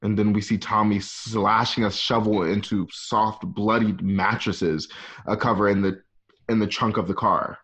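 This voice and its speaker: A man's voice: on the low side, steady tone, measured pace, a bit bright. A man narrating a screenplay's stage directions for an audio drama table read, recorded at home.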